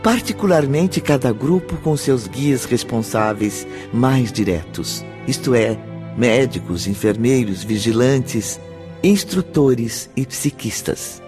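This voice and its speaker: A man playing a solemn, instructive narrator of a spiritual radio drama, with formal, measured phrasing. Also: mid-range voice, lively intonation, over music. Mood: solemn, informative.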